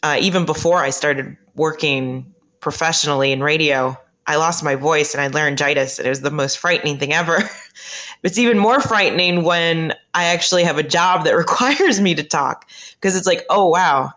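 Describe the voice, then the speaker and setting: A woman who works as a late-night radio host, chatting as a podcast guest, halting and laughing often as she talks. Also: low voice, a bit bright. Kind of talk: casual chat.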